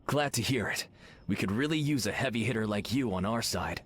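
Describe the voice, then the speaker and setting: A man voicing an earnest, friendly martial arts superhero in a video game, with a husky voice. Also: fairly low pitch, animated, brisk. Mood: eager. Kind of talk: video game character voice acting.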